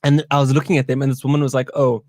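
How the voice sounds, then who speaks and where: A man's voice: medium pitch, flat delivery, very fast, a little mellow, dry recording, studio quality. A young man from South Africa co-hosting a casual live-stream chat over a meal, playful and talkative. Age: young adult. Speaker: co-host.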